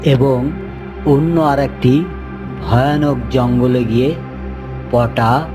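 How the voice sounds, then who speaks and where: A man narrating a children's cartoon story in drawn-out, scripted phrases with pauses. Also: medium pitch, fairly even intonation. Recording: loud music behind the voice.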